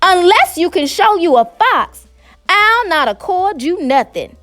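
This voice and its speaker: A woman's voice: very high-pitched, big pitch swings, a little slow, a bit bright, pitched above the performer's own voice, exaggerated voice. A woman voicing a sassy, unimpressed little girl with a rural Southern US accent in a storybook, matter-of-fact, over music. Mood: skeptical. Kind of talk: storybook character voice.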